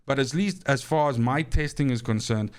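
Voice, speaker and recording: A man's voice: medium pitch, normal speed. A man from Namibia with a South African accent, casually explaining a game and thanking his channel's viewers, often repeating words as he restarts. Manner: grateful, earnest. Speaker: gaming channel host. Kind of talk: casual talk to camera.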